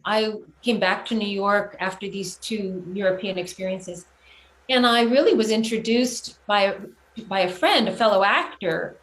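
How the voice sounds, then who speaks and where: A middle-aged woman giving reflective, earnest interview answers about her own career, often halting and rephrasing as she goes. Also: medium pitch. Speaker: guest, children's music performer and teacher. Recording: roomy echo, clear background noise.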